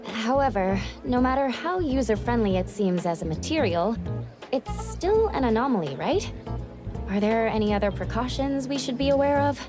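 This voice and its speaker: A woman's voice: on the high side, expressive, normal speed, lightly acted. A woman playing a polite, level-headed young woman in an anime game, asking thoughtful questions courteously. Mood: polite, curious. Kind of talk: anime game dialogue acting. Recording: music and faint crowd behind.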